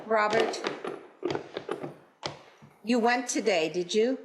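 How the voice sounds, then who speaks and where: A woman playing a careworn, subdued Victorian mother in a radio play, gently firm. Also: mid-range voice, slow pace, very noisy, lightly acted. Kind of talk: stage radio play acting.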